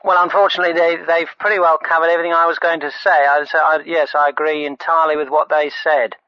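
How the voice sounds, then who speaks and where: A man's voice: fairly high pitch, level tone, quick pace, dry recording, lightly acted. An actor playing a polite, dull man calling a spoof radio phone-in, formal and earnest. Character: polite, dull male caller with nothing to add. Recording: dull, dry phone line.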